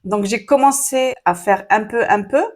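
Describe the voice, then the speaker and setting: A woman's voice: mid-range voice, normal speed, darkish tone. Chatty French-speaking woman coaching viewers. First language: Arabic. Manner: chatty, frequent fillers, addresses viewers directly. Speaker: online business coach. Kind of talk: casual talking-to-camera advice.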